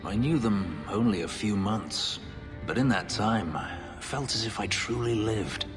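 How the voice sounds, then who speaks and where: A haunted former slave turned elf warrior, his voice very low and darkly brooding. He speaks in measured, formal, clipped sentences, holding himself in check. A loud game score plays under him. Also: animated, music in the background. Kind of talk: video game cutscene dialogue.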